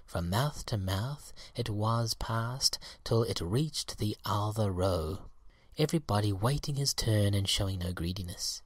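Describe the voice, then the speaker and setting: A man reading a children's tale as a storyteller, expressive and measured, with a husky, breathy voice. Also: fairly low pitch, no echo. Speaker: storybook reader.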